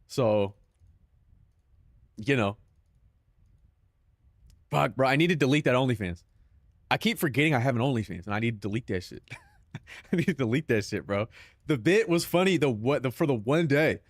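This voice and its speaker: A man's voice: medium pitch, animated, moderate pace, pauses often, no echo, clean studio sound. A young man with a Southern Californian accent, chatting casually on a stream in loose, profane banter full of "bro".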